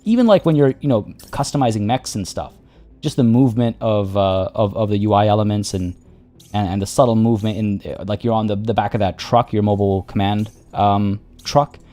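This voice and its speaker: A man's voice: lowish voice, fairly quick, dark tone, faint music behind. Rambling man streaming a tactics game. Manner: rambling, many restarts and fillers. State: voice getting worn. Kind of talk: casual gameplay commentary.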